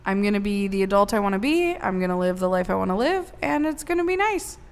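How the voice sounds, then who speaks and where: A woman's voice: mid-range voice, sing-song, fast, clean tone. A woman in her late twenties co-hosting a podcast, chatting casually with a teasing, big-sisterly manner and free swearing. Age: 20s. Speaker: podcast co-host. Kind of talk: casual chat.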